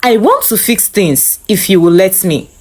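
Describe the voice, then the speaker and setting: A woman narrator voicing a regretful ex-husband, speaking softly and earnestly in a dramatic story. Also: mid-range voice, animated, moderate pace, voice pushed down, close to an everyday voice. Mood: regretful, pleading. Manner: soft, earnest. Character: regretful adult ex-husband pleading for another chance.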